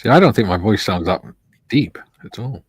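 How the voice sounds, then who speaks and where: A man's voice: lowish voice, expressive, moderate pace, husky, dry recording, clean studio sound. A man hosting a live stream, casually reading out chat messages with chuckles and jokes. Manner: chuckling, jokey.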